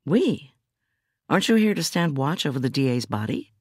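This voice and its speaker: A woman's voice: low-pitched, very animated, normal speed, darkish tone, dry recording, clean studio sound, pitched above the performer's own voice. A woman voicing a shaken, stubborn female prosecutor in an audiobook, insistent and clipped. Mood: indignant, insistent. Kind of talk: audiobook character dialogue.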